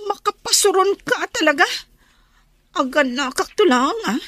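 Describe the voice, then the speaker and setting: A very high-pitched young Filipino woman, hurt and reproachful in a radio drama. She sounds plaintive and emotional, recorded close and dry. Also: lively intonation, a little slow, a bit bright, dry recording.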